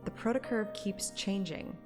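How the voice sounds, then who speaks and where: A woman's voice: medium pitch, measured pace. Playful woman streamer over music. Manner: playful, self-mocking. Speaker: streamer playing and voicing a story game. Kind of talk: live stream chat and dramatic read-aloud narration. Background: game music.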